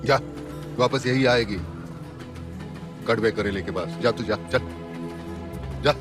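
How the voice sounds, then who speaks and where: A man acting a bitter, quarrelsome, hard-drinking taxi driver husband in a film drama, talking in a rough street manner. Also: mid-range voice, takes it slowly, close to an everyday voice. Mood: bitter, taunting. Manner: rough colloquial street Hindi, confrontational. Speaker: actor in a film. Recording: dramatic music behind the dialogue.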